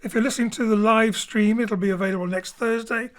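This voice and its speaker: Cheerful theatre host wrapping up. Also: female voice, a little high, steady tone. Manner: brisk, cheerful, jokey with the cast. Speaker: host and director of the theatre company. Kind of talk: post-show announcements. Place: home studio.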